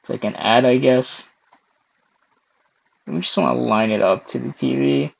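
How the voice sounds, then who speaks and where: A young man hosting a casual screen-recorded software tutorial, hoarse and losing his voice, clearing his throat often between steps. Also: medium pitch, fairly even intonation, a little slow, rough recording. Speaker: tutorial host.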